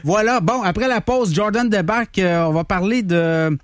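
A radio host with a Quebec French accent talks loosely with his co-host and callers, scattering fillers through his lines. His voice is a bit high and sits dry and close in the studio. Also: normal speed, no room sound. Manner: loose, chatty, fillers and many "là". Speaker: radio talk show host. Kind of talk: casual talk radio banter.

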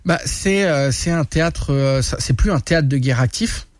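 A man from France, a guest telling war stories in a casual studio interview, animated and full of restarts. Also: on the high side, normal speed, dry recording. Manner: animated, many restarts and laughs. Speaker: guest, reporter and influencer. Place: radio studio.

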